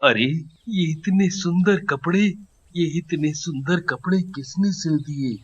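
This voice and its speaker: A man playing a poor, kindly tailor and father in a cartoon, with a husky, measured delivery. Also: on the high side, highs cut off. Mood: pleased surprise. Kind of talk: Hindi cartoon story dialogue.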